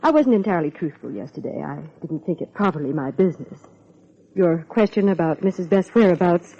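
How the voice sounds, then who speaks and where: An actress playing a proper, reserved social secretary, formal and guarded, in old-time radio drama style. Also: female voice, lowish voice, expressive, brisk, gravelly, warm tone, slightly rough, full character voice. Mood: confiding, uneasy. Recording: muffled old radio recording.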